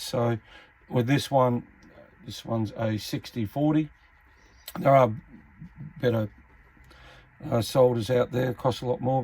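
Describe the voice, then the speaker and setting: A man giving an informal, matter-of-fact repair tutorial, pausing mid-sentence as he works. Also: medium pitch, darkish tone, low-quality recording. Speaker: hobbyist radio repairer, video host. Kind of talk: casual hands-on repair tutorial.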